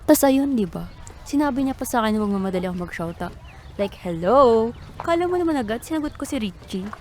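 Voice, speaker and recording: A woman's voice: fairly high pitch, expressive, quick pace, a little mellow, slight background noise, lightly acted. A woman with a Filipino accent playing a hurt, stubborn younger sister in a radio drama. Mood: indignant, hurt.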